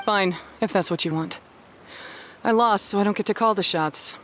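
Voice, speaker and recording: Proud young female rival acted by a woman, mid-pitched voice, curt, bitter, defiant, dramatic video game delivery. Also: moderate pace, fairly bright tone, slight background noise, close to an everyday voice. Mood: sullen.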